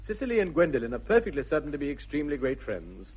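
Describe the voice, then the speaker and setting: Fussy posh english young gent, really husky, talks fast, old radio comedy. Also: male voice, on the high side, huge pitch swings, exaggerated voice. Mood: brisk, defensive. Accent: upper-class English. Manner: brisk, emphatic, theatrical. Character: earnest, fussy young English gentleman in love. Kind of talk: 1960s BBC radio comedy acting. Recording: muffled vintage radio recording.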